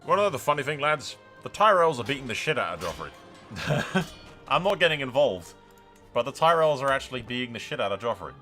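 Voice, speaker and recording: A man's voice: mid-range voice, sing-song, brisk, bright, forceful tone, soft background music. A man giving casual, blokey game commentary, swearing freely and addressing his viewers. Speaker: gaming video host.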